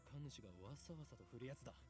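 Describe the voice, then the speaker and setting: An actor voicing a pompous, eccentric young self-styled scientist in an anime game, with grandiose, theatrical declarations. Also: male voice, medium pitch, rapid-fire, over loud music, close to an everyday voice.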